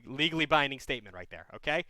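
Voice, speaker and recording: A young man hosting a livestream advice call-in show, blunt and animated, sometimes playing a mock preacher. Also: mid-range voice, fast talker. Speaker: advice hotline host.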